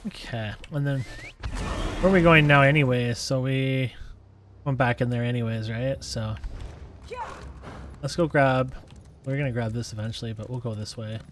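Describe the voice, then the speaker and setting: A man's voice: medium pitch, fairly even intonation, normal speed, long pauses, a little hiss or hum. A man giving casual, offhand gameplay commentary, half muttering to himself as he plays a fantasy game. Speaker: gamer playing through an online fantasy game.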